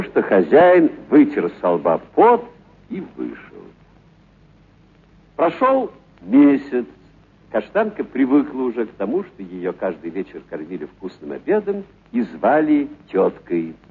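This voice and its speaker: A man's voice: medium pitch, huge pitch swings, measured pace, breathy edge, narrow, tinny audio. A man narrating a literary story for radio expressively and theatrically, lingering on certain words.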